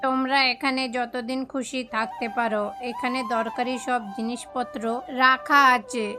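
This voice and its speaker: A woman voicing a calm, wise male hermit crow who preaches in a cartoon moral story. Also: on the high side, normal speed, music in the background, natural voice. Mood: generous, calm.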